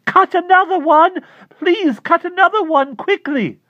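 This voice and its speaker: A man voicing an excitable, peculiar man whose voice goes high and shrill with urgent excitement, on a dull lo-fi recording. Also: a little slow, no echo. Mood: frantic shouting. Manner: urgent, pleading, breathless.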